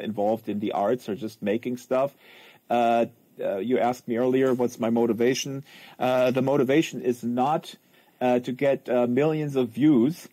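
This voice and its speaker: Male stream host, soft mellow tone, dry close sound, rambling and conversational delivery. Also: medium pitch, no echo. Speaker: host of a live book-reading stream. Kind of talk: casual livestream chat.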